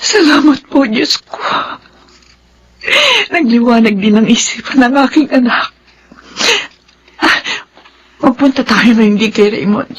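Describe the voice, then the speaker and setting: A high-voiced, husky mother for a Filipino radio drama. She is middle-aged, prayerful and tender, and speaks with open emotion. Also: measured pace, long pauses, highs cut off. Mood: relieved, tender.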